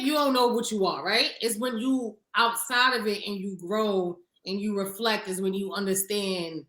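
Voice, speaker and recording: Deep-voiced Harlem woman, blunt and bright. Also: reverberant, low-quality recording. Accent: Harlem New York. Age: 30s. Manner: blunt, reflective, profane. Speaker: podcast co-host. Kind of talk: casual chat.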